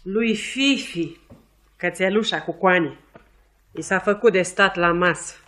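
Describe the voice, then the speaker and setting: Radio drama servant: high voice, chatty, folksy, worldly-wise, period recording. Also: male voice, sharp tone. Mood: gossipy. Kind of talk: Romanian radio theatre acting. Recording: 1950s radio theatre recording.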